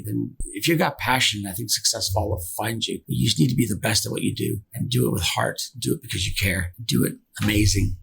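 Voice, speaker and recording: A man's voice: on the low side, gravelly, poor audio. A man, a business founder, answering podcast interview questions in an earnest, conversational way, repeating himself for emphasis. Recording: faint background noise.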